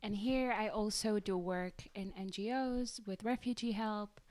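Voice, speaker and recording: A woman's voice: mid-range voice, a little slow, a little mellow, no echo. A young woman from Syria and the Czech Republic co-hosting a radio show in a casual, conversational way.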